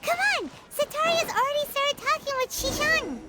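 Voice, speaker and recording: A woman voicing a tiny, excitable female fairy companion in an anime game, with a chirpy, childlike, exclaiming manner. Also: very high voice, normal speed, heavily acted. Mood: excited. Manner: chirpy, exclaiming, childlike, speaks of self in third person. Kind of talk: anime game character acting.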